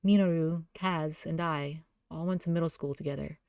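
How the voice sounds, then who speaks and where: Woman voicing a low, weary, brooding young man. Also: fairly even intonation, normal speed, a little mellow, smooth, dry recording, studio quality. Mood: somber, reflective. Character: battered, guilt-ridden, gruff young man, a brooding love interest.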